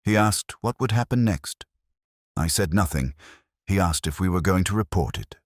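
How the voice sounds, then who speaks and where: Casting: male actor as reserved, world-weary police inspector for audio drama narration. Extremely deep, expressive pitch movement, reflective, measured phrasing. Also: dry recording, studio quality. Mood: somber, reflective. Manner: measured, literary, brooding delivery in short phrases. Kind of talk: scripted first-person detective narration, audio drama.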